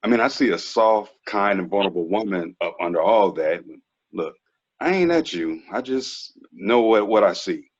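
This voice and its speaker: Deep husky young guy actor, dull recording. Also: lively intonation, moderate pace. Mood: frank, teasing. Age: young adult. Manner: casual, slangy, earnest. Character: laid-back twenties ex-athlete man, frank, quietly troubled. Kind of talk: table read of TV drama script.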